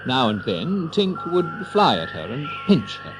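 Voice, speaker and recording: Very animated male storybook narrator. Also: a little high, a little slow, over music. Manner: expressive, theatrical storyteller delivery. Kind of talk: scripted children's story narration.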